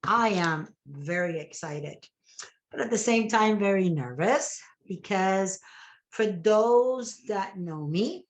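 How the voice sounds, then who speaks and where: A woman's voice: mid-range voice, lively intonation, takes it slowly. A middle-aged woman with a Puerto Rican Spanish accent, whose first language is Spanish, giving an enthusiastic motivational talk to a business team and often adding "okay?". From Puerto Rico. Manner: enthusiastic, repeats words and tags "okay?". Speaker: network marketing director.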